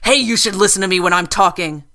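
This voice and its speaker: A woman voicing a furious, unhinged gunwoman in a storybook reading, yelling shrill threats. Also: fast. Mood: menacing. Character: screaming unhinged gunwoman, adult female.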